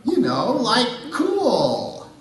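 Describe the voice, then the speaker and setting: A man voicing a naive, cocky and excitable puppet sidekick in scripted comedy, with theatrical, drawn-out emphasis. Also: very high voice, heavily acted. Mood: baffled. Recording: echoey room, distant from microphone.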